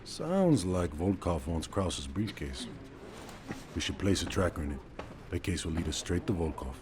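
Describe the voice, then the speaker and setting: Low-voiced, matter-of-fact covert operative. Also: male voice, sing-song, normal speed. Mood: composed briefing. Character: calm, businesslike male covert operative. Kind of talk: video game cinematic acting. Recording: clear background noise and ambience.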